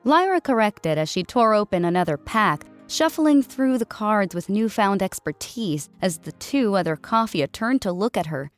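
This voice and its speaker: A woman's voice: medium pitch, lively intonation, moderate pace, few pauses. Woman narrating a story over faint music.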